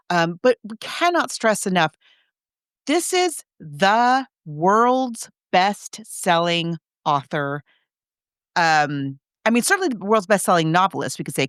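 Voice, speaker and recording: Smooth, lower-pitched woman chatting on a podcast. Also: a bit bright, dry recording, studio quality. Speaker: podcast co-host. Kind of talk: casual podcast discussion.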